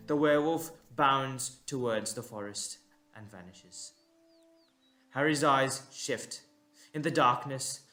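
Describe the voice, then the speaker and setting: Male narrator for fantasy audio play: mid-pitched, very unhurried, suspenseful, deliberate pauses, light music bed. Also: pushed and bright. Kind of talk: dramatic scripted audio story narration.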